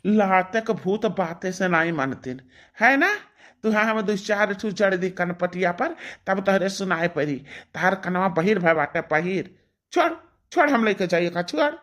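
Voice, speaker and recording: A woman acts out a quarrelsome rustic villager from an Awadhi cartoon drama. The voice sits at a middle pitch and scolds combatively in an Awadhi accent, hammering phrases over and over. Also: lively intonation, measured pace, a bit bright. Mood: angry. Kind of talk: cartoon family drama acting.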